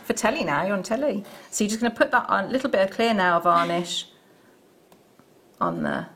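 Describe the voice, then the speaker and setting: A woman's voice: mid-range voice, very animated, brisk, noisy background. A woman demonstrating a bracelet-making craft on a studio show, explaining each step with hesitant restarts and fillers.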